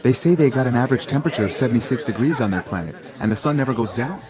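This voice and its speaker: A man playing a quick, eager passenger. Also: medium pitch, animated, close to an everyday voice. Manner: eager, chatty. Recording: crowd and music behind. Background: crowd chatter and music.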